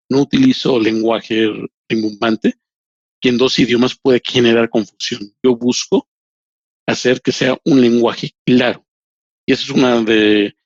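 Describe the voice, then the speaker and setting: A man, a human rights lawyer and professor whose first language is not Spanish, answering interview questions in slow, deliberate speech with many restarts. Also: mid-range voice, no room sound, lo-fi sound.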